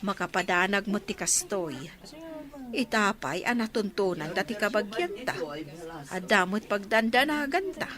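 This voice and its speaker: A woman's voice: medium pitch, expressive, moderate pace, crowd noise, lightly acted. A woman playing a consoling, practical and outspoken village woman with an Ilocano accent in a radio drama.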